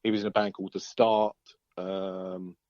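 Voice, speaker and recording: A man chatting informally as an interviewed musician, halting and circling back over his phrases. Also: low-pitched, flat delivery, a little mellow, dry recording, lo-fi sound. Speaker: band singer-guitarist being interviewed. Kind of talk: casual interview chat.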